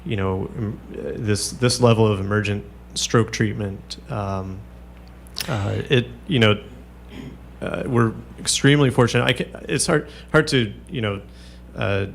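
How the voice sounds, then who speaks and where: A man as a doctor guest on a radio talk show, speaking haltingly with many fillers and restarts. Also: fairly low pitch, gravelly, a little hiss or hum. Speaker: neurologist guest. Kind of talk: radio interview.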